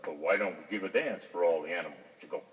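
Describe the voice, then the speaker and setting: A gruff old bullfrog from a children's storybook, acted out by a man. The voice drops low and croaks, punctuating its talk with rhythmic nonsense sounds. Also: fast, roomy sound, in a large hall, lightly acted, low-quality recording. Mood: agreeable. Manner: repeats a croaking nonsense word. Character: gruff old bullfrog, menacing then agreeable.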